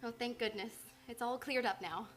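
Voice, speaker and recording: A woman's voice: on the high side, moderate pace, lightly acted. Woman acting devoted, determined lady psychoanalyst. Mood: relieved, shocked. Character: devoted, determined female psychoanalyst, composed and caring. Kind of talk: old-time radio drama acting. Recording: studio radio play with music behind.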